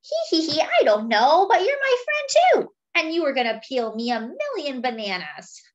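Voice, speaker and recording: A woman's voice: high-pitched, huge pitch swings, normal speed, dull audio, a little hiss or hum, voice pushed up. A woman voicing a small, sly monkey trickster in a children's picture book, giggling teasingly before making suggestions. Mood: teasing, suggesting.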